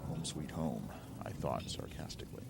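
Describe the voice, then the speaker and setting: Very deep man reading, noisy recording. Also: moderate pace. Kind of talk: scripted first-person story reading. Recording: clearly noisy amateur recording.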